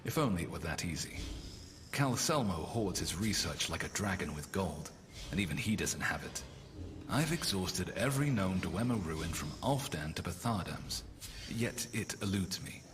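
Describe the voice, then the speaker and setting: A man's voice: deep voice, expressive, moderate pace. A man playing a frustrated, obsessive scholar of ancient machines in a fantasy game, speaking in a brooding, articulate, bookish way. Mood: weary, exasperated. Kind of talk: dramatic video game character voice acting. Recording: long hall reverb, loud background noise.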